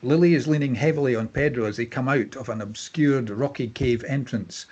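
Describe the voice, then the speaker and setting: Slightly husky male narrator. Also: medium pitch, fairly quick, a little mellow, lo-fi sound. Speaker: stage-direction narrator. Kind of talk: scripted narration at a table read.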